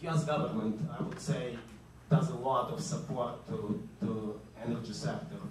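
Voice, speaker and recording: A man's voice: medium pitch, moderate pace, a little hiss or hum. A man with a Ukrainian accent speaking at a conference panel, hesitant, with many fillers and restarts. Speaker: oil and gas executive, conference speaker. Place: large conference hall. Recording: echoey hall, speaker far from mic.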